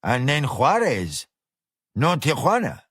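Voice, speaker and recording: A man's voice: mid-range voice, wildly swooping pitch, slow pace, slightly rough, no room sound, studio quality, heavily acted. A man voicing a burly, mocking male restaurant owner who speaks English. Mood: gruff mocking. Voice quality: loud, brash, laughing.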